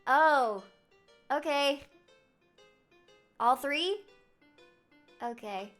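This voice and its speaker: A young woman streamer talks in a high, bright-pitched voice at an easy, unhurried pace. She is chatty and rambling, breaking into quick laughs as she answers chat on a casual live stream. Soft music plays faintly behind her. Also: animated.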